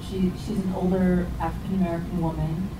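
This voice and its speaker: A woman's voice: on the low side, level tone, fairly quick. A woman sharing in a group discussion, talking hesitantly and rambling, with many restarts. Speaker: meeting participant. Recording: echoey, distant mic in a noisy room.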